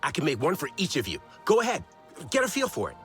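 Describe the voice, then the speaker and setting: A man's voice: a little high, quick pace, faint music behind. An actor playing an eccentric, enthusiastic tinkerer in a video game, chatty, proud of his gadgets and amused by himself. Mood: proud, eager. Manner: excitable, chatty, self-amused. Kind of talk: video game cutscene acting.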